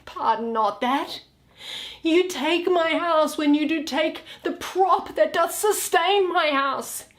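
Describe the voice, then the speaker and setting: A woman's voice: sits high, takes it slowly, voice pushed up. A woman playing a bitter, defeated older male moneylender, resentful and pleading in Shakespearean verse. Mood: despairing. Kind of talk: Shakespearean stage verse reading. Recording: roomy, echoing room sound.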